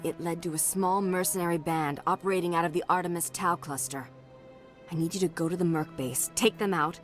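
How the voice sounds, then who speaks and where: Game diplomat played by a woman: wealthy, anxious, measured and formal, lowish female voice, music behind. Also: close to an everyday voice. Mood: businesslike. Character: wealthy, anxious alien diplomatic emissary pleading for her sister. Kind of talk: video game dialogue acting.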